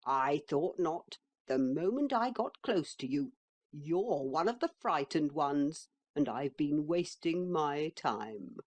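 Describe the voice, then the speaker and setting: Sly talking horse, slow and lilting, low and teasing, a lady reading it out. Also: expressive, a little mellow, full character voice. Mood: mocking. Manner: slow, coaxing, mocking.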